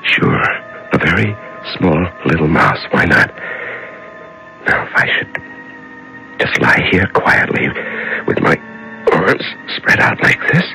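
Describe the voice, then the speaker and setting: A lonely captive fighting to keep his sanity in a vintage radio play. He mutters to himself very slowly and haltingly, in a low, gravelly voice with a tense edge. It is dramatic, haunted radio acting. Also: pushed and bright, full character voice, rough recording. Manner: halting, muttering to himself, swinging from whispers to cries. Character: frightened lonely man locked in solitary darkness, clinging to sanity.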